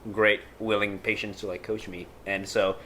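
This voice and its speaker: A man's voice: lowish voice, flat delivery, normal speed, pushed and bright, a little hiss or hum. A young man from Indonesia, an athlete guest who talks in an upbeat way, laughs often and speaks slightly broken English. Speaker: guest, athlete and personal trainer. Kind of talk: casual interview chat.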